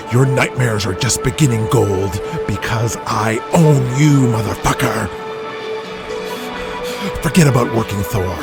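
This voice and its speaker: A man's voice: mid-range voice, gravelly. A man playing a furious, domineering wrestling boss who scolds and threatens with profanity in an audio drama. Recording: loud music behind.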